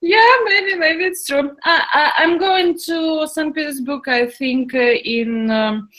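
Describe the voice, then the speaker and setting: A woman's voice: high-pitched, unhurried, a bit bright. A young woman from Ukraine chatting in an English speaking club, hesitant, with many fillers and restarts. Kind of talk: casual chat in learner English.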